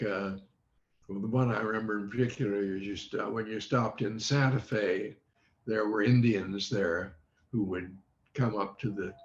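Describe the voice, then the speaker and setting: Old guy over 70 reminiscing on a video call, halting, muffled n roomy. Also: lowish voice. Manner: halting, circling back to rephrase. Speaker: interview guest, former child radio quiz contestant. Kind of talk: unscripted reminiscing in an interview. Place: online video meeting. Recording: dull, echoey video call audio.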